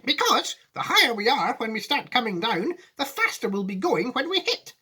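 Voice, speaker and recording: Woman playing a flamboyant, high-pitched eccentric gentleman. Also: big pitch swings, moderate pace, breathy edge, heavily acted. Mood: excited. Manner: theatrical, exclamatory, whimsical. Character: flamboyant, eccentric, unflappable gentleman factory owner. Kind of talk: acted storybook reading.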